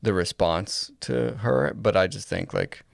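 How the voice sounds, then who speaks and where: A man with a lowish, creaky voice chats casually as a podcast co-host. The sound is close and dry, and his delivery is conversational and opinionated. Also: a little slow, no echo.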